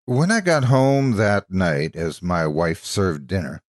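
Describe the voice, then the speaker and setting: Male story narrator, low register, highly varied intonation, measured dramatic delivery. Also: animated, no room sound, clean studio sound.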